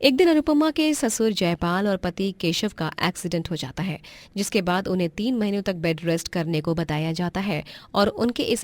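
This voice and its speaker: A woman's voice: lowish voice, dry recording. A woman storyteller reading scripted story narration.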